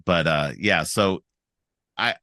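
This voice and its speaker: A man's voice: sits low, slow pace, bright, forceful tone, no room sound, clean studio sound. A middle-aged man hosting a casual film podcast, stuffed up from a cold, rambling with frequent restarts. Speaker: podcast host.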